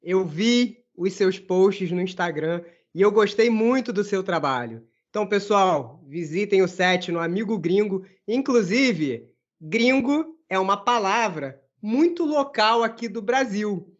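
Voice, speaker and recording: A man from Brazil hosts a language podcast, speaking in a friendly, affirming and measured way. His voice sits high for a man. The sound is dull and lo-fi. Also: animated. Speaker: podcast host and Portuguese teacher. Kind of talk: podcast interview hosting.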